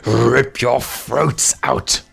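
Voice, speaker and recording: A man's voice: mid-range voice, steady tone, creaky, fairly bright tone, husky, soft background music, voice pushed up, heavily acted. A man voicing a menacing, contemptuous villain lion in a storybook, drawing out his theatrical threats. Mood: furious, menacing. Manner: slow, drawn-out, theatrical threats.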